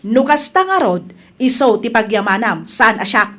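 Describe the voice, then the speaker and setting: Woman doing a snooty spiteful wife, really high voice, ilocano accent, contemptuous, radio drama. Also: big pitch swings, normal speed. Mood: scornful. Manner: sharp-tongued, contemptuous. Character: haughty, hostile wife and spiteful sister-in-law.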